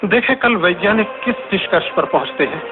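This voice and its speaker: A man's voice: sits high, lively intonation, fast, over loud music. An actor playing a worried ordinary Indian man musing aloud to himself in a theatrical radio drama soliloquy. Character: worried ordinary man at home hearing the news. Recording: noisy, tinny phone-like radio broadcast recording.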